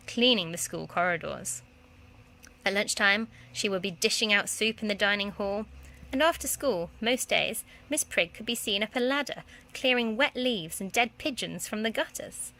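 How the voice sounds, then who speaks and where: A woman's voice: medium pitch, sharp tone. A woman, a primary school teacher, reading a children's story aloud expressively.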